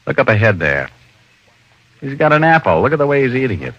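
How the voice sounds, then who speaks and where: An actor playing a confident, wry private detective with an American accent, reasoning aloud in an old radio drama. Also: male voice, mid-range voice, sing-song, fast, a bit bright, no room sound. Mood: intrigued. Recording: muffled old radio recording.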